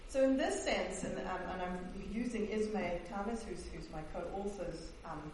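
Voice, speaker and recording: A woman's voice: medium pitch, moderate pace, a little mellow. A woman historian, Dutch-born and raised in New Zealand, presenting her book in an academic talk, hesitant with many fillers and restarts. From Netherlands, raised in New Zealand. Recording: echoey hall, distant mic, faint hiss.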